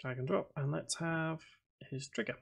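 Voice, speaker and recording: A man's voice: on the high side, moderate pace, creaky, slightly rough. A man hosting a casual live stream, thinking aloud as he codes and reading chat questions aloud. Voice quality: self-described boring voice. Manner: talks to himself while typing, reads chat aloud.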